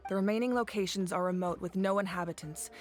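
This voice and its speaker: A woman playing a grave, commanding leader of warriors in a video game, measured and authoritative. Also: medium pitch, natural voice. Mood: resolute. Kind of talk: English video game voice acting. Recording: game music behind the voice.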